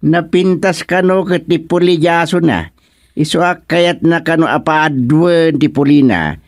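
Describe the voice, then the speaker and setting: An actor playing an amused, easygoing, gently mocking man of some authority in a radio comedy drama. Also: a little high, measured pace, dry recording, studio quality, lightly acted. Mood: gossipy, amused.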